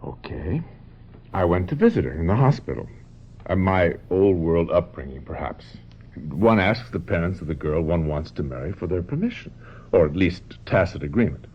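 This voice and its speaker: Deep-voiced, domineering film director. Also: male voice, very animated, moderate pace, slightly rough, exaggerated voice. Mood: insistent. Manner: insistent, emphatic, patronizing. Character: domineering, manipulative male film director pressing a young actress. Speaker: actor in a radio drama. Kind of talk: radio mystery drama acting. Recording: muffled, noisy old radio recording.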